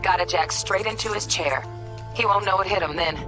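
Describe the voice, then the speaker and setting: A woman's voice: mid-range voice, a little fast, lightly acted. Clipped, sardonic hacker woman played by an actress, music behind. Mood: focused, businesslike. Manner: clipped, slangy, dry. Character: brisk, sardonic female hacker guiding a heist team. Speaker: netrunner character in a game. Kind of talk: naturalistic video game voice acting.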